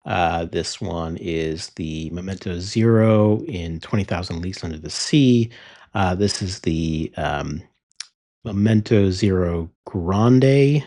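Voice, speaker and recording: Man, lowish voice, laid-back pen review, lots of ums and uhs. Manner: relaxed, many ums and uhs. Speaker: fountain pen channel host. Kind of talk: casual product review.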